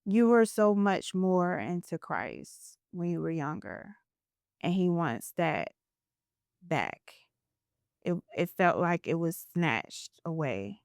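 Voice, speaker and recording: A woman's voice: on the low side, very animated, slow pace, darkish tone, no echo, clean studio sound. A woman podcast host talking in an earnest, reflective way as she shares a spiritual story in a casual interview.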